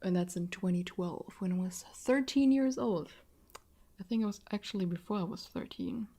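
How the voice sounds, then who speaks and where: A woman's voice: medium pitch, normal speed, dark tone, slightly rough. A woman in her early twenties from southwest Germany, speaking English with a German accent, hosting a casual solo podcast and chatting in a self-deprecating way with frequent laughs. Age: 20s. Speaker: podcast host.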